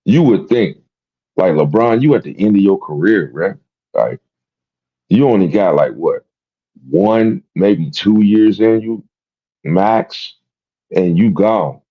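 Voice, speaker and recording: A man's voice: lowish voice, expressive, slow, long pauses, husky, muffled recording. A man hosting a sports talk show, rambling and repeating himself in casual, profane commentary. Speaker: sports podcast host.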